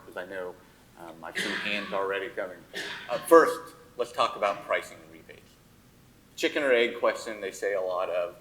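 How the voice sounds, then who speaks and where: Low-voiced man moderating a panel. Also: a bit bright, poor audio.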